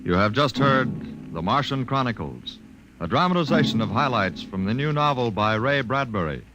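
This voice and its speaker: A man's voice: medium pitch, big pitch swings, normal speed, highs cut off, over loud music. An American man reading scripted announcements formally, in 1950s radio style. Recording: noisy old radio broadcast recording.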